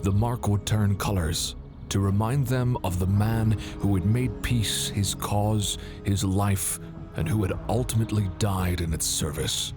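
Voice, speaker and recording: A man giving solemn, dramatic scripted narration in a grave, measured manner. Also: sits low.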